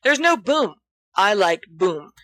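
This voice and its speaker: A woman's voice: mid-range voice, big pitch swings, slow, a bit bright, no echo. A woman voicing a boastful, theatrical teenage schoolboy who rambles grandly in an audiobook story. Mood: theatrical indignation.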